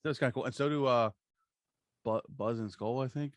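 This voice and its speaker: A man's voice: mid-range voice, fairly quick, no room sound. A man co-hosting a podcast, chatting casually and enthusiastically about pop culture with plenty of swearing and slang. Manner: profane, slangy. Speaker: podcast co-host.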